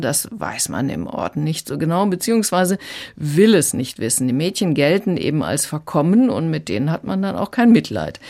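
A woman's voice: sits low, a little mellow. Female book critic in radio conversation. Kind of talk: prepared radio review in conversation.

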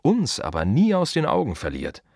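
Weary wry gravedigger in a radio play, husky voice, close dry sound, short punchy repeats. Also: male voice, mid-range voice, wildly swooping pitch, moderate pace, a little mellow, dry recording. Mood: musing, wry. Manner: wry, emphatic, repeats short phrases, mimics others. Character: world-weary, wry male gravedigger musing on death and the city. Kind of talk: radio play monologue, conversational and rhythmic.

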